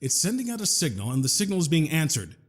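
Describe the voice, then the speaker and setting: A man playing a sardonic, well-spoken gentleman scientist in an audio drama, with a wry, wordy, formal manner. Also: fairly high pitch, sing-song, fast. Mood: earnest, explanatory. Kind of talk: scripted audio drama acting.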